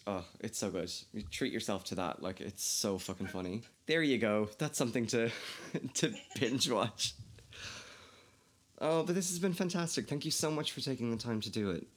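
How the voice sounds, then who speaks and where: A man's voice: on the low side, moderate pace. A man with an Irish Kildare accent hosting a casual, chatty conversation, laughing often and swearing freely. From Ireland. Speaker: podcast host. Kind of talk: casual chat.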